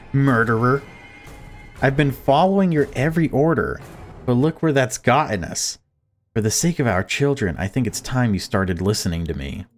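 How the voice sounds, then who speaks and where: A man's voice: mid-range voice, voice pushed up, lightly acted. A man voicing a protective mother who commands her family in a horror visual novel. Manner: stern, commanding.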